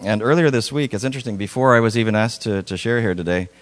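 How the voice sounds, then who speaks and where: A middle-aged man from Lebanon, a missionary, speaking at a church in a halting, folksy way with many fillers. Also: lowish voice. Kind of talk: church talk with slides. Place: church sanctuary.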